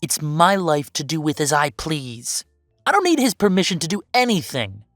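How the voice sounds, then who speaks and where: A woman's voice: sits low, sing-song, normal speed, no echo, clean studio sound, faint music behind. A woman playing a bitter, defiant werewolf big brother estranged from the family, curt and confrontational, in an audio drama. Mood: angry, defiant. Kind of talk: scripted audio roleplay drama.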